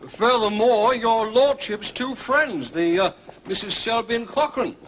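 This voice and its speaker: An actor playing a discreet, deferential English manservant who speaks formally and respectfully, with polite hesitations and a quiet shrewdness. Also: male voice, extremely high pitch, unhurried, a little hiss or hum, rough recording. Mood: dutiful reporting. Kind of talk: period radio drama acting.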